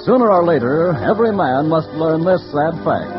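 Old muffled radio, guy as wry theatrical narrator, bit high voice, music under him. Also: animated, moderate pace, lightly acted. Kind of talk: 1940s radio scripted narration. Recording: muffled vintage radio recording.

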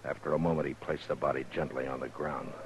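An American actor playing a stern, plainspoken US Marshal in a 1950s radio western, speaking in a terse, grave, deliberate way. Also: male voice, rumbling low, fairly even intonation, background noise. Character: stern, steady frontier US Marshal, weary and plainspoken. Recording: muffled old radio recording, music behind.

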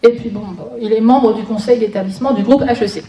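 A woman with a fairly high voice gives an informal lecture, dropping dry, sarcastic asides as she goes. She is picked up from a little distance in a very echoey room. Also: fast talker, noisy background. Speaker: guest lecturer, author. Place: conference room before an audience.

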